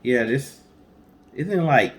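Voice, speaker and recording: A man's voice: medium pitch, animated, slow, fairly bright tone. A man co-hosting in casual chat, chiming in with short, laid-back, joking remarks. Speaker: co-host.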